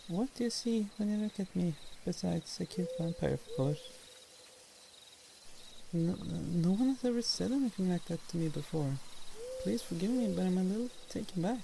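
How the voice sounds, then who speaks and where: Woman playing a very soft, dark-voiced lonely vampire. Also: medium pitch, vocal fry. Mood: wry, guarded, then touched, hesitant. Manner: wistful, formal, shyly hesitant. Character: lonely, tsundere female vampire, youthful but 130 years old. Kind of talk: ASMR roleplay monologue. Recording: noisy, nighttime crickets and owls behind. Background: night woodland ambience with crickets, owls.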